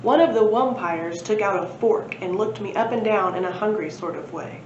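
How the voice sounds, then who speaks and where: A woman's voice: medium pitch, normal speed, a little mellow. A woman reading a children's story aloud as a library presenter, expressively, with casual asides. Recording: roomy, muffled, faint background noise.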